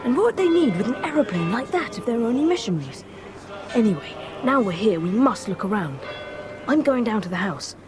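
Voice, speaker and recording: A woman playing a bold, earnest schoolboy adventurer in a children's audio drama, brisk and full of old-fashioned slang. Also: fairly high pitch, big pitch swings, music in the background. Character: bold, eager, adventurous schoolboy leading his friend.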